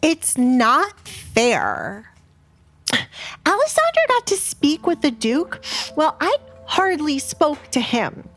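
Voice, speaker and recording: A woman's voice: high voice, wildly swooping pitch, slow pace, a bit bright, pitched above the performer's own voice, heavily acted. A woman voicing a whiny, pleading, spoiled young noble daughter in an audiobook drama. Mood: whiny, grumbling.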